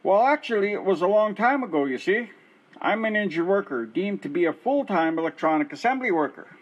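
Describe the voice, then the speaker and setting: A man's voice: high voice, expressive, brisk, muffled recording. A man playing a frustrated middle-aged injured worker who calls one office after another and insists on his case. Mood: flustered. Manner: exasperated, insistent. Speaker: caller. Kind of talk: amateur radio play acting.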